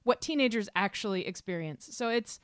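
A woman's voice: medium pitch, lively intonation, fairly quick, clear, resonant tone, no echo, clean studio sound. A woman co-hosting a casual podcast, speaking personally in a reflective, earnest way. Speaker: podcast co-host.

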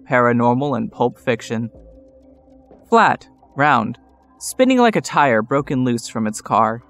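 A man's voice: medium pitch, fairly bright tone. A man narrating a scripted story with wry, theatrical emphasis. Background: music score.

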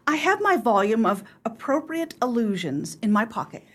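A woman's voice: a little high, sing-song, normal speed, fairly bright tone, husky, exaggerated voice. An actress in a radio play as a prim, easily flustered clubwoman, earnest and bookish in her speech.

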